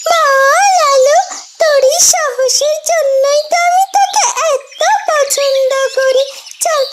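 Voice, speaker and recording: Tiny, squeaky cartoon bird. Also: slow, very noisy. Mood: earnest, watchful. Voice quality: very high, squeaky, childlike. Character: small female talking pet bird, loyal and watchful. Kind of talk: cartoon character dialogue. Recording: loud music bed behind the voice.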